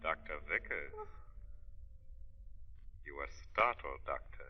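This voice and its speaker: A man's voice: very deep voice, animated, slow, full character voice. A man playing an unseen, taunting avenger in a 1930s radio drama, mocking and portentous. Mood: eerie taunting. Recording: muffled vintage 1930s radio recording.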